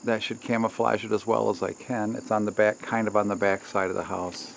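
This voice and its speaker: A man's voice: fairly low pitch, little pitch movement, brisk, vocal fry, a little hiss or hum. A middle-aged man giving a casual, folksy hands-on how-to, thinking aloud as he works. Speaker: DIY workshop host. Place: outdoors by a house and in a barn workshop.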